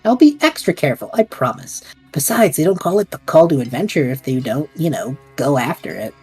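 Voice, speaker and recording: A woman's voice: deep voice, fairly quick, husky, soft background music, full character voice, low-quality recording. A woman voicing an eager, scatterbrained young male adventurer who jokes his way through danger, in a fan audio drama. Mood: excited. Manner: excitable, joking, reckless.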